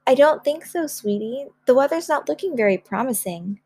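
A woman plays a gentle storybook mom who soothes and reassures her child. Her affectionate voice is fairly high, with a dry, close sound. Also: lively intonation, moderate pace, husky, dry recording, exaggerated voice. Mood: consoling. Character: gentle, reassuring mother of a young girl.

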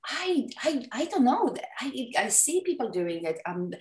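A woman's voice: high-pitched, very animated, a little slow, darkish tone, recorded from a distance. A woman from Poland with a Polish accent, a wedding photographer chatting enthusiastically as a podcast guest, with many fillers and restarts. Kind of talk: casual interview chat. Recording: echoey room, off the mic, faint music.

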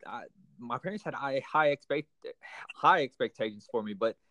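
Guest: young man from Texas, earnest, halting, mid pitch, dry close sound. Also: animated, bright, forceful tone, no echo. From United States, raised in Houston, Texas. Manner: earnest, halting with many fillers and restarts. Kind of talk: personal testimony in an interview.

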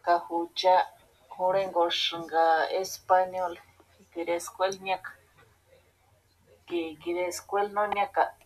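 Slow, husky woman. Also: fairly low pitch, flat delivery, poor audio. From Michoacán, Mexico. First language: Purepecha. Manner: halting, mixing two languages. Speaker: interviewee, mother. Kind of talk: casual interview answers.

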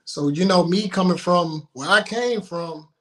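A man telling personal stories casually as an interview guest, in an informal, halting, colloquial way. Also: high-pitched, sharp tone. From Houston, Texas, United States.

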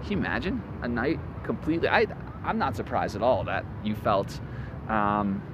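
A man's voice: on the low side, normal speed. A man in his late twenties hosting a podcast, rambling casually and profanely through stories and notes. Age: late 20s. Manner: rambling, profane, animated storyteller. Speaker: podcast host. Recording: loud street traffic noise.

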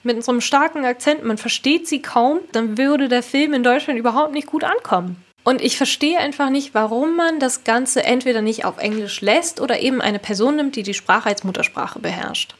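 A woman talking casually but critically about a film, laying out her opinions clearly. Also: high voice, moderate pace, few pauses.

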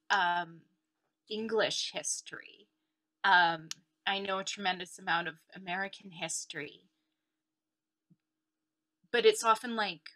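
A woman's voice: mid-range voice, pushed and bright. A woman from Canada, a guest talking reflectively over a video call, with many "like"s and "um"s. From Ontario, Canada. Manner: reflective, chatty with many likes and ums. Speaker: guest, dancer and researcher. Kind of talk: casual interview chat.

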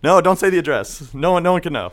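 A man's voice: fairly high pitch, lively intonation, quick pace, a bit bright. A young man hosting a casual podcast chat, joking and often slipping into silly put-on voices. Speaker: podcast host.

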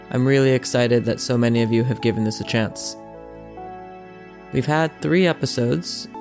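A man hosting a podcast interview in a hotel room, asking friendly, measured questions. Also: medium pitch, creaky. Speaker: podcast host.

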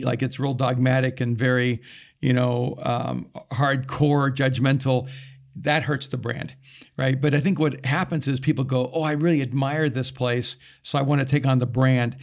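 A middle-aged man from El Salvador, a pastor whose first language is Spanish, chats on a podcast with a slightly gravelly, fry-edged voice. He tells stories animatedly, acting out the people he quotes in them. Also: medium pitch. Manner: animated storyteller with quoted asides. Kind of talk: casual conversation.

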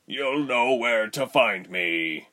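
A man's voice: medium pitch, expressive, slow pace, bright, forceful tone. Casting: anguished father, tender and strained with desperation narrator acting, male, storybook drama. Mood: urgent. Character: desperate, tender father fighting to save his wife.

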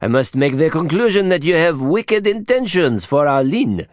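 A man voicing a rude, provocative man in his thirties, loud, blustering and mocking. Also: fairly high pitch, big pitch swings, normal speed, dry recording, studio quality, voice pushed up.

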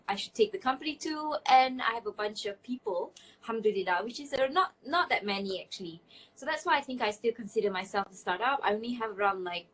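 A woman's voice: mid-range voice, low-quality recording. A woman from Malaysia chatting casually as an interview guest, playful and self-deprecating, on a video call. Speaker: interview guest, startup managing director. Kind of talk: casual chat. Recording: video call with faint background noise.

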